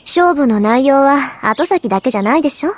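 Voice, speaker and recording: A proud, composed high school girl in an anime game. Her voice is high and she speaks extremely fast, with the poise of an honor student. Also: animated, a little hiss or hum. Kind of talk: anime visual novel voice acting in Japanese.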